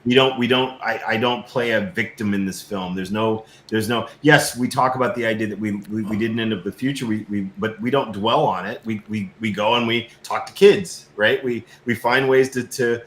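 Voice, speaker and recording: A man's voice: on the low side, steady tone, moderate pace, hardly any pauses, fairly bright tone. A man speaking as a guest in a casual interview, earnest, often repeating words as he starts his sentences. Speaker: guest filmmaker. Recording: roomy echo, background noise.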